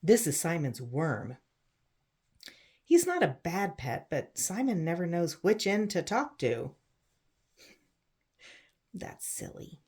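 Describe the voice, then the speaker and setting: A woman reads a children's picture book aloud, pausing to explain simply and put questions to her young listeners. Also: lowish voice, sing-song, darkish tone, husky. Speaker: read-aloud storyteller for children. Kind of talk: picture book read-aloud with chatty asides.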